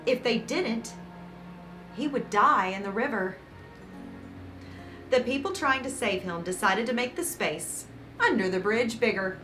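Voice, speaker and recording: A woman reading a picture book aloud to children as host, with dramatic emphasis and warm, encouraging asides. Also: a little high, measured pace, pauses often. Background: background music.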